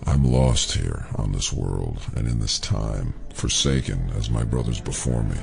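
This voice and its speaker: A man playing an ancient, menacing alien consciousness in an audio drama, speaking in slow, guttural pronouncements. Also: very deep voice, darkish tone, heavily acted. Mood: cold, menacing. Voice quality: gravelly, guttural. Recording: music behind the voice.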